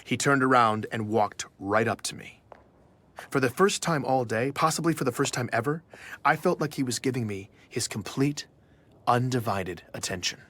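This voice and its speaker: A man's voice: medium pitch, moderate pace, a bit bright, natural voice. An actor playing an investigative journalist, narrating his own story in an audio drama with a dry, confiding manner. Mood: measured, ominous. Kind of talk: first-person scripted narration for audio drama.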